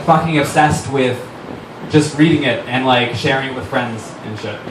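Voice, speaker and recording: A man talking informally on stage in a loose, sweary way, presenting a dramatic reading of fan fiction. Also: medium pitch. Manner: informal, filler-heavy, swearing. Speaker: writer-director reading on stage. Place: live stage before an audience at a fundraiser event. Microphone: stage microphone. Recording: noisy, echoey live room recorded from far off.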